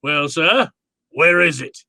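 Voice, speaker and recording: A man acting as a wily, jovial fat-man villain from an old radio play. He speaks slowly and floridly in a bright, fairly high male voice, recorded very dry. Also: animated, gravelly, no echo, exaggerated voice. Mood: courtly, firm. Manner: verbose, courtly, chuckling, slow and deliberate.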